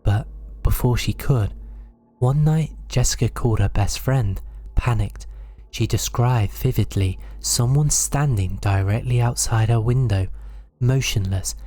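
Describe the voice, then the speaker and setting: A man narrating scripted horror stories in a measured, dramatic storytelling manner. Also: mid-range voice, warm tone.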